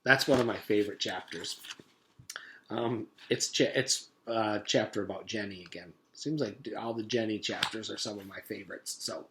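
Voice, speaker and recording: A man's voice: fairly low pitch, moderate pace, background noise. A man reading a children's story aloud as a teacher would to pupils, in storybook narration.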